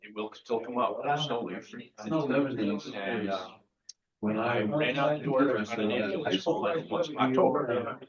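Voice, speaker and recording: A man's voice: mid-range voice, measured pace, long pauses, muffled recording, a crowd in the background. A man telling a rambling story in a casual, loose way at a club meeting.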